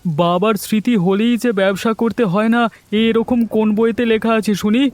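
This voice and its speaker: Man voicing a high-pitched scheming village fish trader. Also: moderate pace, a little mellow, natural voice. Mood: pushy persuading. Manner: persuasive, pushy, smooth-talking. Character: cunning, greedy male village fish trader, falsely friendly. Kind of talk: Bengali cartoon story voice acting. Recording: music bed under the voice.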